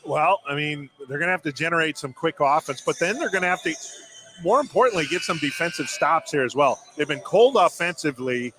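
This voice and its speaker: A man's voice: a little high, animated, a little fast. An American man giving conversational color commentary on a basketball game. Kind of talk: conversational sports analysis. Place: indoor school gym during a game. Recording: faint gym noise on broadcast.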